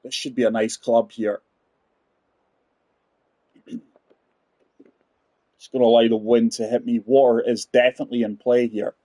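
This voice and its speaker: A man in his forties with a husky, slightly breathy voice at a middle pitch, chatting through a golf game live. He talks casually to his viewers, and the sound is dry and close, with no room echo. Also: little pitch movement, unhurried, pauses often, warm tone. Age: 40s. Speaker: game streamer. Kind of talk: live gameplay commentary.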